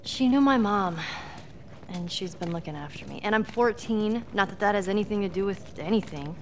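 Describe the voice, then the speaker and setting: A woman's voice: mid-range voice, lively intonation, a little hiss or hum, natural voice. A woman voicing a defiant, curious fourteen-year-old girl in a game, who asks prying questions and answers with sass. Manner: quick, prying, sassy.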